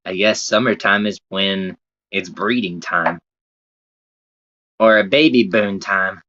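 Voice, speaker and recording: Low-voiced Southern American man streaming. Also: takes it slowly, bright, forceful tone. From Southern United States. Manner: rambling, laid-back, casual swearing. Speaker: livestreamer. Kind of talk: casual livestream chat with viewers. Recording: dull lo-fi sound.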